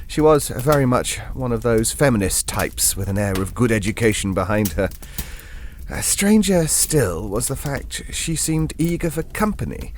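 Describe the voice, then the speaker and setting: A man's voice: mid-range voice, big pitch swings, normal speed, slight background noise, natural voice. An actor playing a genteel older Englishman, telling a ghost story in an audio drama in a hesitant, digressive, politely formal and wistful way. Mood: reflective, hesitant.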